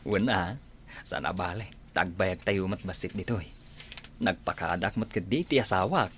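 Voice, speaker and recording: A man's voice: low voice, normal speed, background noise, natural voice. A man playing an easygoing, friendly married travel companion in an Ilocano radio drama, with an Ilocano Filipino accent. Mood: relaxed. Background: music.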